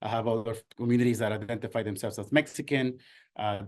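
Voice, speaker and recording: A man's voice: on the low side, steady tone, fast talker, darkish tone. A man in his forties, born in Ecuador, presenting a workshop in an earnest way with frequent fillers and restarts. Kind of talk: presentation talk.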